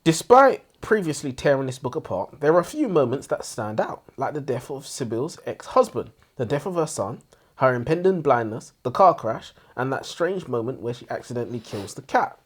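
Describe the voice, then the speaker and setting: A man's voice: medium pitch, very animated, moderate pace, husky. A man co-hosting a book review, giving opinions in an emphatic, scathing way.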